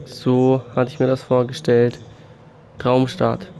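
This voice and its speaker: A man with a cold and a sore throat, calmly commentating his own football video game match. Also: mid-range voice, slow pace. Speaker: gaming YouTuber. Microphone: USB condenser mic. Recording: faint game music behind.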